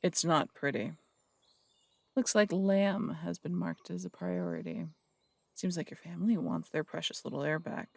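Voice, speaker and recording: A blunt, suspicious city detective in an audio drama, acted by a man, his voice deeply gravelly and sitting a little high. He needles with curt questions, and the sound is close and dry. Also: moderate pace, no echo. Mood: wary. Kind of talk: audio roleplay drama acting.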